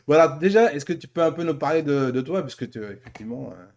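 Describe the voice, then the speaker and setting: A man's voice: a little high, lively intonation, fast, a little mellow. A man hosting a casual conversational interview, talking in a familiar, teasing way with his guest. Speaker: show host.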